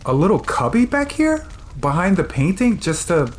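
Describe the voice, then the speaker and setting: An actor playing a brash, irreverent modern teenager who talks in slang. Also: male voice, high-pitched, huge pitch swings, normal speed. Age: teens.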